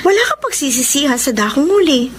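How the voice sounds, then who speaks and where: A bubbly, teasing young woman gives her friend advice in an old radio drama. Her voice is high and it bounces around as she talks. A faint hum sits behind her. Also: brisk. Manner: chatty, teasing, animated. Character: Miriam, lively loyal woman best friend. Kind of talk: classic radio drama acting.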